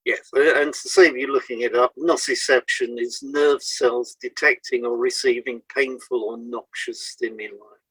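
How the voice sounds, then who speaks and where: A man presenting a scripted educational podcast in a measured, explanatory way, setting out items one by one. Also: mid-range voice, steady tone, fairly bright tone, slightly rough, no echo, rough recording.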